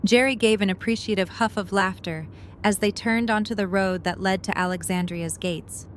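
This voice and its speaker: A woman's voice: mid-range voice, fairly even intonation, moderate pace, a little hiss or hum. A woman reading scripted third-person story narration for an audio drama.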